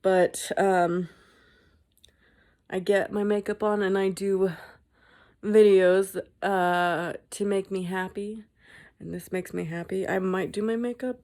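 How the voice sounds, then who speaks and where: A woman's voice: on the low side, a little mellow. A woman vlogging at home in a casual, rambling chat, swearing freely and mocking herself. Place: indoors at home.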